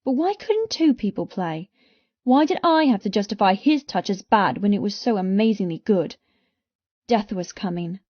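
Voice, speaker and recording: A woman's voice: medium pitch, lively intonation, normal speed, dry recording, muffled recording. Dry, intense woman narrating romance. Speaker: audiobook narrator. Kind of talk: first-person dramatic romance narration.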